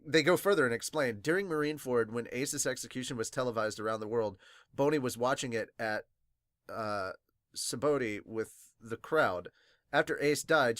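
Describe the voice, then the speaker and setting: A man with a mid-pitched voice talks casually and excitably, like a fan hosting a reaction podcast. His nose sounds slightly stuffed and sniffly, and he swears freely. The recording is close and dry, with very little room sound. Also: no echo. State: congested, sniffly from crying. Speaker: podcast host reviewing manga. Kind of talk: casual fan reaction, reading viewer comments aloud.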